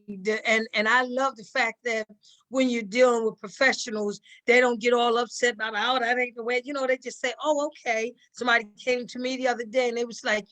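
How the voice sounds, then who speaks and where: A woman with a bright, forceful voice pitched a bit high talks as an interview guest. She is conversational and emphatic, slipping into quoted back-and-forth to make her point. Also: poor audio. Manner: emphatic, acts out quoted exchanges. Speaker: cast member, interview guest.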